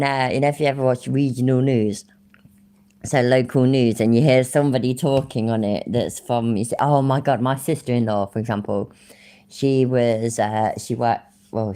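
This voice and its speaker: A woman's voice: a bass voice, moderate pace, mellow. Rambling, swearing Midlands woman streamer. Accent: English Midlands. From English Midlands. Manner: rambling, swears freely, laughs often. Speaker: live streamer. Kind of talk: casual live-stream chat.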